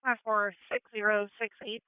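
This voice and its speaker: A woman working as a police dispatcher, giving brisk replies and calls over a two-way radio. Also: medium pitch, flat delivery, smooth, no echo. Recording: tinny narrowband police radio scanner audio.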